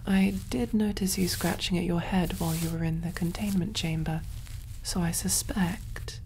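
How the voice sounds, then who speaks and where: Woman playing a hushed, mellow, kindly alien medic. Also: fairly low pitch, steady tone, moderate pace, slight background noise, lightly acted, rough recording. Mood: gentle, informative. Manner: gentle, hushed, reassuring, often near whisper. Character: kind, soothing female alien medic, calm and clinical. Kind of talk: ASMR sci-fi roleplay, soft-spoken.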